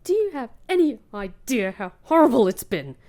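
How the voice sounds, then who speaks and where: A woman's voice: on the high side, very animated, normal speed, mellow. A woman playing a hurt, bitter young woman home from captivity in an emotional audio drama. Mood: angry, anguished. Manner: emotional, dramatic, British slang.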